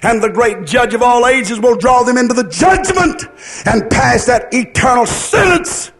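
A man's voice: very high voice, measured pace, fairly bright tone. A man preaching a fervent revivalist sermon in a declamatory, sing-song cadence, repeating phrases and putting rhetorical questions to his listeners.

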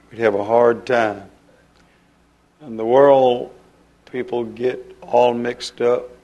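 Flat-voiced man preaching slowly. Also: medium pitch. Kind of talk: informal sermon.

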